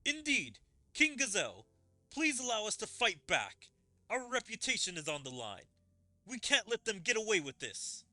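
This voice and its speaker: A man's voice: high-pitched, wildly swooping pitch, moderate pace, sharp tone, dry recording, soft background music, pitched above the performer's own voice, heavily acted. A man voicing a loyal fantasy soldier, formal and urgent, reporting indignantly to his king in a game. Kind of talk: reading game dialogue aloud in character.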